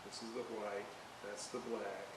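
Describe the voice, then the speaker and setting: A man giving casual hands-on commentary as he repairs equipment, halting and muttering while he works. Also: fairly low pitch, flat delivery. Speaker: hobbyist repairer narrating a restoration. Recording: hissy, roomy, distant microphone.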